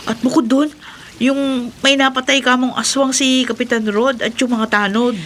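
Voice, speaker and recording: A woman's voice: high voice, steady tone, normal speed, fairly bright tone, a little hiss or hum. A woman playing a lovesick, insistent young Filipina in a melodramatic, pleading horror radio drama style. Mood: incredulous.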